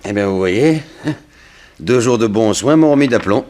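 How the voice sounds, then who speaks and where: An actor playing a weary, plaintive son of a wealthy family in a 1960s radio detective drama. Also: male voice, fairly low pitch, animated, moderate pace, darkish tone, close to an everyday voice. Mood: exasperated. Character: grieving son of a poisoned rich woman, weary, plaintive.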